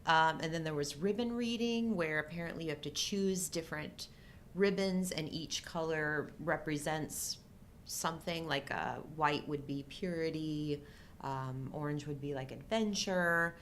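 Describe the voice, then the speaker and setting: Low-voiced middle-aged woman chatting, rumbly background. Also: a little slow, a little hiss or hum. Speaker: co-host. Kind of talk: casual live-show chat.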